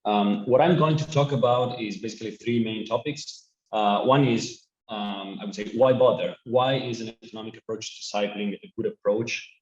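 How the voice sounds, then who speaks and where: A man's voice: on the low side, moderate pace, a little hiss or hum. Italian-accented man presenting over a call. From Italy. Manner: earnest, with frequent restarts and fillers. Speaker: presenting speaker. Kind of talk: online conference presentation. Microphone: video call. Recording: echoey, muffled video call.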